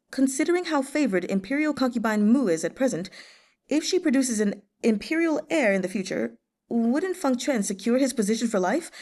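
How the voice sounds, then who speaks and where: An envious male eunuch of the imperial court, played by a woman reader, pitched high. He complains in a plaintive, deferential way, always fretting, like a character in an audiobook period novel. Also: dark tone. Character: fretful, envious male palace eunuch official.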